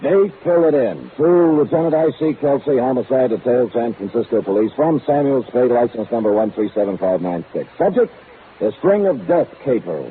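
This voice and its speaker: An actor playing a world-weary, wisecracking hardboiled detective with sardonic delivery in 1950s radio noir style. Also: male voice, medium pitch, lively intonation, normal speed, a little hiss or hum, lightly acted. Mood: weary, wry. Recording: tinny 1950s radio broadcast with music.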